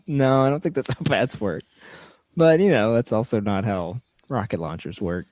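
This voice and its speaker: A man's voice: mid-range voice, a little mellow, dry recording. Wry, joking man co-hosting a podcast. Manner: wry, joking, quick quips. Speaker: podcast co-host. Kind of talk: casual podcast chat.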